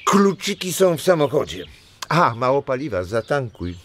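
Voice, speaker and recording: A man playing a jovial, doting old grandfather in a children's audio drama, with chuckles and drawn-out exclamations. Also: medium pitch, big pitch swings, a bit bright, slight background noise. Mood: fond, reminiscing.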